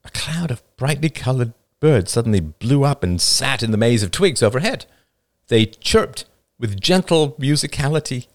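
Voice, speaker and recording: A man reading a first-person story aloud with expressive storytelling. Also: mid-range voice, normal speed, dry recording, clean studio sound. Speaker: narrator.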